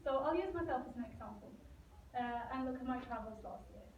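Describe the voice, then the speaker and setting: A woman's voice: fairly high pitch, animated, a little fast, a little mellow. A young woman from Norway giving a student competition presentation to a live audience, earnest and stopping now and then to ask the audience questions. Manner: earnest, asks the audience questions, some restarts. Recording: echoey room, voice from a distance, dull lo-fi sound, faint hiss.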